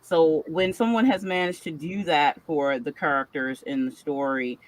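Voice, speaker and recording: A woman's voice: sits low, fairly even intonation, normal speed, no room sound, rough recording. A woman horror author on a panel, talking in an eager, rambling way with lots of fillers. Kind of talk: casual panel discussion.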